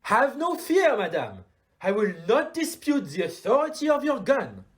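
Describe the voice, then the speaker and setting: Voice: mid-range voice, huge pitch swings, measured pace. An actor playing a courteous, self-assured detective in an audio play, with a put-on French accent and a formal, theatrical manner. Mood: intrigued, calm.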